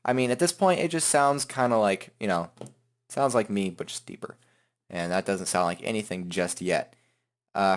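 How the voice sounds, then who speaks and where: Low-voiced man giving a casual tutorial. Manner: informal, joking, self-deprecating. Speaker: tutorial host. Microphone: headset microphone.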